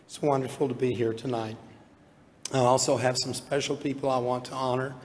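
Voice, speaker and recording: Hoarse older man in a reverberant hall. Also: mid-range voice, little pitch movement, brisk. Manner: heartfelt, self-deprecating, with small laughs. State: hoarse, losing his voice from illness. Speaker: award honoree giving an acceptance speech. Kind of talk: acceptance speech. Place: large banquet hall at an awards event. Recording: long hall reverb, faint room noise.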